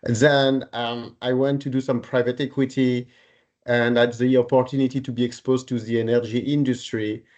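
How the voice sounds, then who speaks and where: A man in his forties from Paris with a French accent, speaking politely and haltingly as an interview guest. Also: mid-range voice, level tone, a little mellow, muffled recording. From Paris, France. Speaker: podcast guest, renewable energy executive. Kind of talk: conversational career account in interview.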